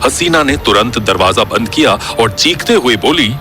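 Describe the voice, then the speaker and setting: A man's voice: mid-range voice, expressive, normal speed, pushed and bright, faint music behind. A man narrating a scripted horror story as its storyteller.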